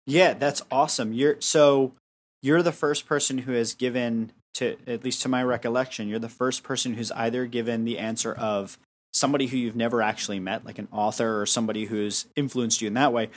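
A man's voice: mid-range voice, fairly even intonation, a little fast. Podcast host man, long rambling summaries. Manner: long, summarizing questions with restarts. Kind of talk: casual interview chat.

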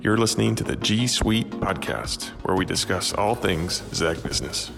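Low-voiced male announcer over music. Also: lively intonation, normal speed, gravelly. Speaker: podcast announcer. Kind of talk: scripted intro narration. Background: intro music.

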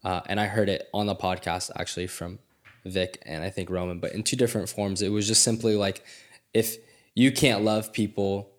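Casting note: male podcast host, low and even voice, reflective, affirming. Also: normal speed. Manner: affirming, reflective, frequent "mm-hmm". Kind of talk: casual faith conversation.

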